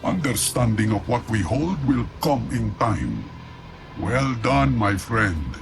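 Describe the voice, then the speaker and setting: Gravelly, solemn faction leader. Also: male voice, fairly low pitch, big pitch swings, heavily acted. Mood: proud. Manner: measured, solemn, theatrical. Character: proud, shrewd male faction leader addressing his general. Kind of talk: dramatic video game cutscene acting. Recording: loud music behind the voice.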